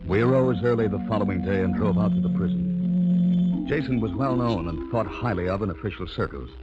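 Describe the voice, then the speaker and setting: An earnest scientist's assistant in a vintage radio thriller. His voice is very low and moves expressively as he recounts eerie experiments. The old recording is dull, with music clearly behind him. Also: very animated, brisk. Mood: grave, narrating. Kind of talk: old-time radio drama acting and narration.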